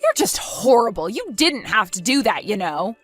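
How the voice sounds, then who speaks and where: A woman's voice: medium pitch, sing-song, normal speed, faint music behind. A woman playing a desperate, defensive cheating wife in an acted audio drama, pleading and evasive. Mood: hurt, indignant. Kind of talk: acted audio story dialogue.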